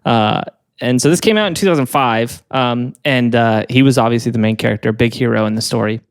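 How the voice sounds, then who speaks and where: A man co-hosting a podcast, telling a true story in casual chat, halting and full of fillers and restarts. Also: medium pitch, clear, resonant tone, no room sound, studio quality. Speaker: podcast co-host.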